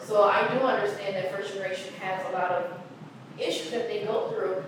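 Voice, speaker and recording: A woman's voice: mid-range voice, fairly even intonation. Young woman in an echoey room. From United States. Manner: halting, with many ums. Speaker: panel guest. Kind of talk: casual group discussion. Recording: echoey room, distant mic, background noise.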